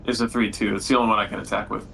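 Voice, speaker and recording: A man with a fairly low, gravelly voice, calling his turns and joking with friends at a card game. A faint hiss sits behind him. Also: level tone, rapid-fire, a bit bright. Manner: joking, friendly table talk. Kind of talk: casual game-table chat.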